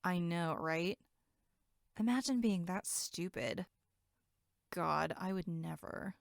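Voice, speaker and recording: A woman's voice: lowish voice, slow pace, vocal fry, no room sound, clean studio sound. A woman acting in an audio drama as a flippant young camp counselor, with a sarcastic, blunt and casual way of talking. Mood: scornful.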